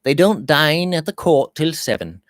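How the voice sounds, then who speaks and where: A man voicing an easygoing, friendly young English gentleman in a storybook reading. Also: on the high side, big pitch swings, measured pace, no echo, clean studio sound, voice pushed up. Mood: breezy, casual.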